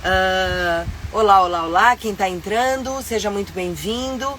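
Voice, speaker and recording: Brazilian woman, middle-aged, a bit nasal from a cold, chatty and upbeat live host, medium pitch, faint hum of a vehicle behind her. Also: measured pace, a bit bright. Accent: Brazilian Portuguese. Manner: chatty and upbeat, with frequent fillers. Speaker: live-stream host and tour guide. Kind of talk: casual live-stream chat. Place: inside her motorhome.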